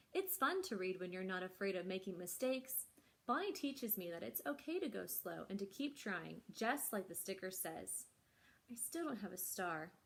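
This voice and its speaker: A woman's voice: medium pitch, creaky. A woman voicing an earnest, anxious young girl who is a reluctant reader in a storybook, with emphatic, simple phrasing.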